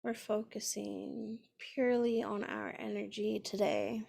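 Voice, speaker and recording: A woman leading a guided meditation in a gentle, soothing manner, pausing often between phrases. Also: mid-range voice, flat delivery, vocal fry. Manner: gentle, unhurried, with long pauses between phrases.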